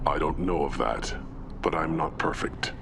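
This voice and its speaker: A man voicing a simple, solemn, newborn alien brute soldier in a video game, growling in halting, childlike phrases. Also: rumbling low, full character voice. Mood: resigned. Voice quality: gravelly, growling. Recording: music behind the voice.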